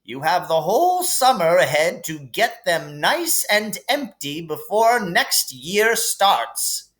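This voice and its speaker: Guy doing an old wise wizard headmaster, kindly, real slow n drawn out, high lilting swoops, storybook style. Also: big pitch swings, a bit bright, exaggerated voice. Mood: ceremonious. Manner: stately, deliberate, drawn-out delivery. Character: elderly wise kindly male wizard headmaster addressing a hall.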